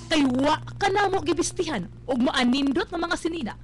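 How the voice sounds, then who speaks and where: A woman's voice: high voice, animated, moderate pace. A woman acting a haughty rich mother who sneers at the poor, in melodramatic Cebuano-accented radio drama. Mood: indignant scolding. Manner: scornful, sneering. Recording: faintly noisy live radio capture, humming.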